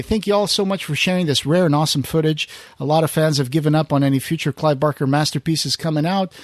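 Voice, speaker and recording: Male podcast host, casual, reads out comments. Voice a bit high, recorded very dry. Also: dry recording. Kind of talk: casual podcast talk, reading out listener comments.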